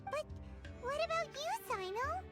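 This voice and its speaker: A woman voicing a small, childlike fairy companion in a video game, squeaky, inquisitive and excitable. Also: unhurried. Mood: concerned. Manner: exclamatory, inquisitive. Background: game music.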